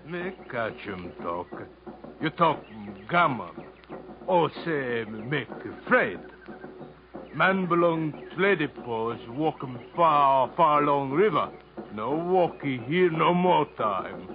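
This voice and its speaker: An actor playing a wary island chief who bargains in halting, put-on in a 1950s radio drama. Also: male voice, a little high, expressive. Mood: stubborn, wary. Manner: halting broken speech. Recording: muffled old radio broadcast.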